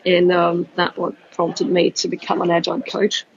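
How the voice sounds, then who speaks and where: Woman, middle-aged, mixed hard-to-place accent, gravelly, flat delivery, dull lo-fi audio, faint ambience. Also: lowish voice, moderate pace, slight background noise. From Austria. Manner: steady, many ums and uhs. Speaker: guest, agile coach. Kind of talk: casual interview answers.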